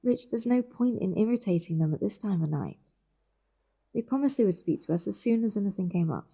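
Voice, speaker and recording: A woman's voice: medium pitch, animated, fairly quick, creaky, mellow, slightly rough. A woman playing a protective, bossy older sister in a naturalistic audio drama, firm and insistent.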